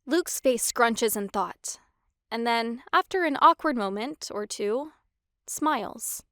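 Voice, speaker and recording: A woman's voice: fairly high pitch, expressive, slow, a bit bright, no echo, clean studio sound. A woman reading scripted story narration as the narrator. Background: faint music.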